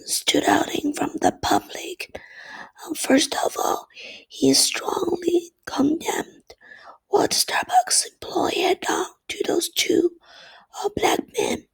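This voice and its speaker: A woman giving a class presentation in a hoarse, nearly lost voice from a cold, hesitant with many fillers. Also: very high voice, fairly bright tone, low-quality recording. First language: Chinese. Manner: mostly whispered, hesitant with fillers. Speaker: student presenter.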